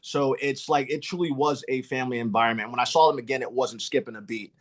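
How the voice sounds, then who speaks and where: A man's voice: medium pitch, steady tone, quick pace. An American man telling a personal story casually, with a sincere, candid manner. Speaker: podcast host, actor.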